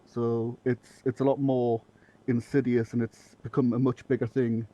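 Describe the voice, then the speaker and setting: A man's voice: medium pitch, flat delivery, normal speed, mellow. A man from Northeast England with a matching accent, talking bluntly in a loose, rambling way and swearing freely as a podcast guest. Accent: Northeast English. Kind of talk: casual podcast chat.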